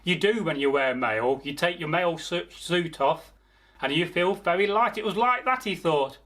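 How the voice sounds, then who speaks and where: A man telling a folktale aloud as a storyteller, theatrical, pausing mid-phrase and speaking directly to his listeners. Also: fairly high pitch, lively intonation, a bit bright. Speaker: storyteller, channel host. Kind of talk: oral folktale storytelling, improvised.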